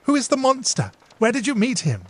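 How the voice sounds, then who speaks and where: A man voicing a dignified but warm-hearted young woman who teases her younger sister with lively exclamations. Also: very high-pitched, brisk, pitched above the performer's own voice, heavily acted. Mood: teasing.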